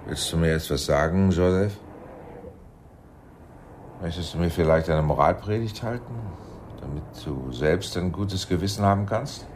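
An actor playing a shrewd, paternal intelligence chief in a German radio play, measured and persuasive, with a husky voice. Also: male voice, low-pitched, darkish tone, a little hiss or hum, close to an everyday voice. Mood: tense, bitter.

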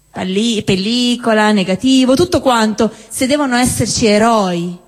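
A woman performing a theatrical spoken-word monologue on stage, declaiming with deliberate phrasing and dramatic pauses. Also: sits high. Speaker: stage performer at a live show. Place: indoor cinema-theatre venue with long reverb. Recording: reverberant hall, live stage performance.

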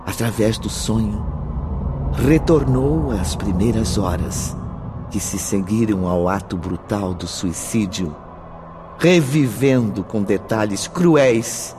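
A man's voice: fairly low pitch, dark tone, a little hiss or hum. A man with a Brazilian accent narrating a radio drama in a solemn, theatrical manner.

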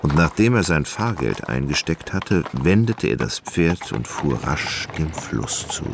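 A man narrating a literary radio drama in a measured, dramatic storytelling manner, in German studio style. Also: a bass voice, sing-song, gravelly, background noise. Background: plucked guitar music.

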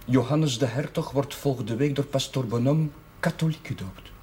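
An actor playing a gentle, flustered, self-mocking young village priest in a television period drama, speaking hesitantly. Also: male voice, medium pitch, moderate pace, slight background noise, natural voice. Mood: subdued, earnest. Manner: hesitant, stammering. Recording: music behind, 1970s television soundtrack.

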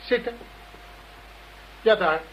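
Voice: mid-range voice, muffled recording, lightly acted. Actor doing a polite but menacing masked blackmailer, radio play, bit of background noise. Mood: controlled threat. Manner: formal, deliberate, coolly threatening. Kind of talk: Dutch radio play acting. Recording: noisy radio play recording.

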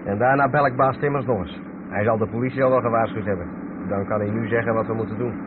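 An actor playing a practical, matter-of-fact truck driver who takes charge in a radio play. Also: male voice, lowish voice, fairly even intonation, quick pace, close to an everyday voice. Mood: reassuring, practical. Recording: narrow-band old radio recording, clear background noise.

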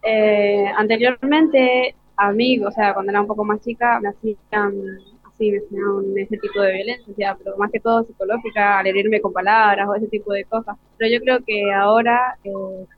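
A woman's voice: mid-range voice, fairly even intonation, moderate pace. A teenage girl with an Argentine accent, answering casually in an interview as a student. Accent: Argentine Spanish. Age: teenager, final school years. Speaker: student podcaster being interviewed. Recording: dull lo-fi live broadcast sound.

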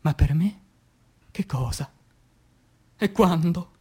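Man performing an anguished poet, high-pitched and slow. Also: slightly rough. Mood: solemn, brooding. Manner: declamatory, dramatic pauses, swinging from hushed to shouted. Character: anguished, passionate revolutionary poet pleading to live again. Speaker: stage actor. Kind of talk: theatrical poetry recitation, radio theatre.

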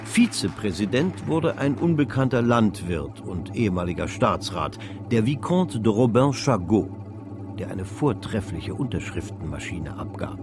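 A man's voice: fairly low pitch, sing-song, unhurried, music in the background. A man narrating a radio drama in a literary storytelling style.

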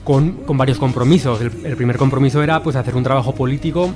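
A man, an activist guest in a radio interview, speaking earnestly with halting restarts. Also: medium pitch, fairly even intonation, over music.

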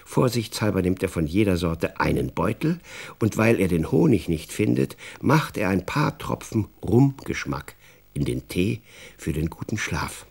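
A man narrating a children's radio drama as an expressive, wry storyteller. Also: deep voice, measured pace, vocal fry, breathy edge.

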